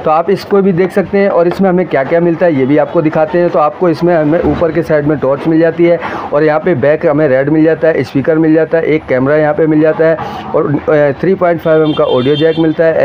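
An Indian man narrates a casual hands-on unboxing for his viewers in a slightly high voice. He explains things in quick, repetitive patter. The sound is a little dull, with faint noise behind him. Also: steady tone. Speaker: tech reviewer, vlogger.

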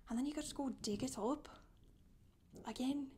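Woman acting a soft, breathy confessing witch. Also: high voice, moderate pace. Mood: matter-of-fact, gossipy. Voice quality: soft, breathy, often dropping to a whisper. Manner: rambling, gossipy, casual asides. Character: Scottish witch woman confessing, matter-of-fact, chatty, boastful. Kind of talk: soft-spoken ASMR character monologue. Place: small indoor room, seen seated.

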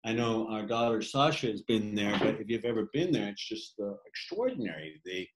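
A man who writes, speaking about his book on a video call in a halting, thoughtful way with many fillers. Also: lowish voice, lively intonation, roomy sound, noisy background. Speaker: poet reading his work. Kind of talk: reflective talk in an online reading. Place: home room on a video call.

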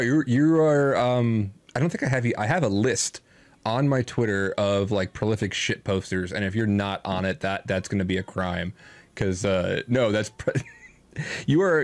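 A man in his thirties hosting a casual streamed chat, excitable, swearing freely and laughing often. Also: on the low side, no echo. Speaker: podcast host.

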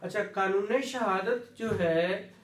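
A man's voice: sits high, slow. A man in his thirties with a Pakistani accent teaches law, mixing in English legal terms, repeating key words and often checking that students follow. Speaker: law lecturer. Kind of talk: classroom lecture with questions to students. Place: small indoor teaching room with whiteboard. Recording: echoey room, mic at a distance.

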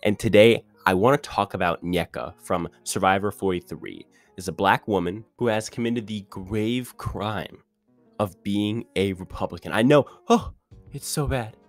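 A man's voice: on the low side, no echo, soft background music. A man giving opinionated, ranting commentary on a reality show contestant in a casual monologue. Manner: opinionated, ranting, quick with restarts.

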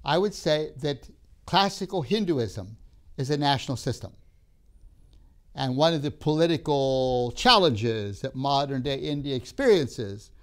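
An older man who grew up in Tanzania, giving a measured classroom lecture with emphatic pauses, recorded on a lapel mic in a studio classroom. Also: medium pitch. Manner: measured, emphatic pauses, gestures while talking.

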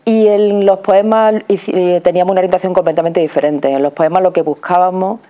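A woman's voice: lowish voice, fairly even intonation, fairly quick, mellow. A woman in her forties with an accent from Spain, explaining conversationally as a studio interview guest on a lapel mic. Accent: Spanish from Spain. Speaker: interview guest, radio theatre performer. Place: small TV studio.

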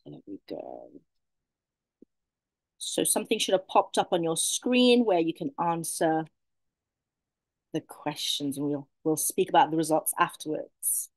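A woman's voice: low-pitched, very animated, measured pace, pauses often, no room sound, rough recording. A young British woman co-hosting an online webinar on a video call, eagerly reacting to viewers' messages. She is bubbly and casual. Her voice is dry and close to the mic. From United Kingdom. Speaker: webinar co-host running a poll.

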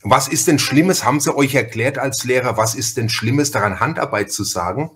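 A man's voice: mid-range voice, moderate pace, fairly bright tone. A middle-aged man, chatting casually and emphatically as a podcast host. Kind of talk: casual chat.

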